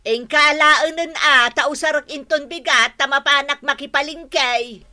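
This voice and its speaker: A woman's voice: high-pitched, unhurried, pushed and bright, full character voice. A woman playing a pushy, grasping, vain aunt in a radio drama, with an Ilocano accent. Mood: wheedling, demanding.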